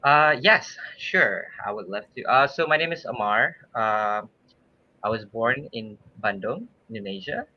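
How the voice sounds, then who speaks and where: A man's voice: mid-range voice, sing-song, a little slow, creaky. A man in his thirties from Malaysia, a swimming coach talking easygoingly with many fillers and some laughter. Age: 30s. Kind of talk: casual podcast interview. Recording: dull lo-fi sound.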